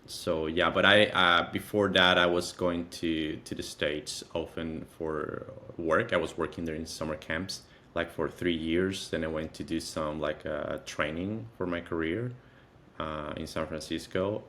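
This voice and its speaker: Male, 30s, Venezuelan accent, native Spanish speaker, deep register, halting conversational interview delivery with repeated phrases. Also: fairly even intonation, sharp tone, a little hiss or hum. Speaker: interview guest, content creator.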